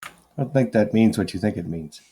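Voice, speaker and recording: A man's voice: lowish voice, a little fast, mellow, a little hiss or hum. A man playing a tabletop game, talking in a casual, loose way that drops the odd swear. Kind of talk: in-character roleplay chat.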